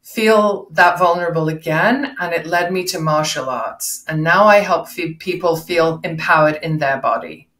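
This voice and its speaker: Female coach, middle-aged, slightly low register, explaining and questioning as an interview guest, recorded in a reverberant room. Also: moderate pace, few pauses, a bit bright. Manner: instructive, poses rhetorical questions and examples. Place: indoor room, video call. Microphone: video call, no headset seen. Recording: echoey room over video call.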